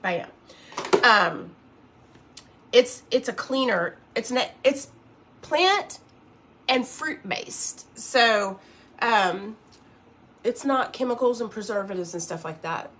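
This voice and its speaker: A woman's voice: fairly high pitch, expressive, takes it slowly, pauses often, fairly bright tone. A woman in her early fifties hosting a casual makeup livestream, chatting and rambling as she works and reads out viewer comments. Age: 50s.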